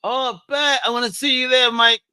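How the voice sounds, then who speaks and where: Hyped host with a Puerto Rican accent. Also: male voice, very high-pitched, steady tone, fairly quick, sharp tone, clean tone, dry recording, clean studio sound. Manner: hyped, enthusiastic, slangy. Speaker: podcast host. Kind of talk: casual interview chat.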